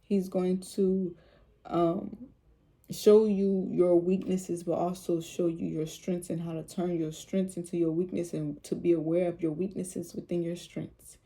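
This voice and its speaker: A woman with a low, soothing voice, speaking casually and with rambling repeats as she talks about faith on her vlog. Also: flat delivery, unhurried, mellow. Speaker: vlogger.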